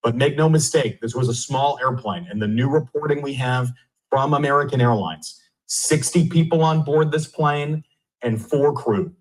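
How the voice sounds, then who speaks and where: A man's voice: medium pitch, moderate pace, rough recording. A man reporting breaking news live as a television reporter, explaining with emphasis and some hesitation.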